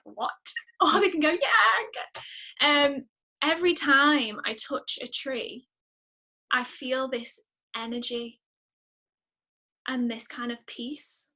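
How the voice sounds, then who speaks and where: A woman speaking as a podcast guest in a casual interview, chatty and expressive, often exclaiming. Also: high voice, takes it slowly, long pauses.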